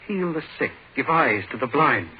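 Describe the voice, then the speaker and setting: A man's voice: medium pitch, animated, close to an everyday voice. A man playing an urgent, commanding doctor in muffled old radio horror. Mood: urgent persuasion. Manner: urgent, melodramatic, halting. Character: agitated, commanding male doctor in a horror play. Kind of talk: 1940s radio horror acting. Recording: muffled, hissy 1940s radio recording.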